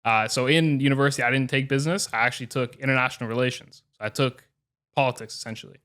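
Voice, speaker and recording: A man's voice: medium pitch, fairly even intonation, racing, fairly bright tone. A man as a podcast guest in casual chat, speaking earnestly and reflectively, with the odd swear. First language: English.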